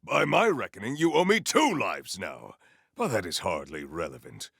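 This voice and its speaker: Video game warrior, solemn and grandiose, stiffly formal diction, rough creaky voice, very dry close studio sound. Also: male voice, on the low side, very animated, normal speed, fairly bright tone, dry recording, full character voice. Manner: formal, stilted, declamatory speech without contractions. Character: solemn, proud warrior hunter with stately grandiose temper. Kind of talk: video game character acting.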